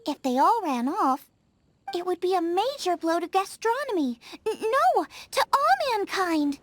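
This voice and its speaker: A woman voicing a bubbly young female chef in a video game dub, eager, food-obsessed and quick to get excited. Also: very high voice, no room sound, exaggerated voice. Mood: earnest. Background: soft game music.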